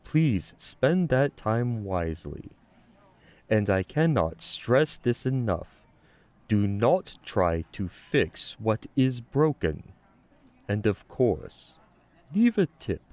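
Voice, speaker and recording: A man's voice: on the low side, big pitch swings, a little mellow, dry recording. Guy acting as a cryptic skeleton god, solemn n grand, drawn out speech that rises and falls a lot, audio drama. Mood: grave, measured. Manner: slow, grandiose, oracular pronouncements. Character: solemn, cryptic skeletal coffee god granting time travel.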